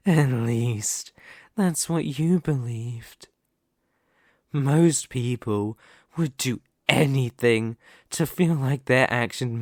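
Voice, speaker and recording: A man voicing a dominant, mocking demon lord in an ASMR roleplay, with condescending, theatrical, drawn-out delivery. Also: mid-range voice, no room sound, clean studio sound, heavily acted. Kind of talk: ASMR roleplay, scripted villain monologue.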